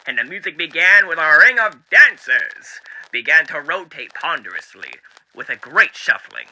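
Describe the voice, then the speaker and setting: A man's voice: on the high side, sharp tone, exaggerated voice, rough recording. A man voicing a genteel, eerily cheery demon radio host with showy, mannered delivery, in the style of 1930s radio acting.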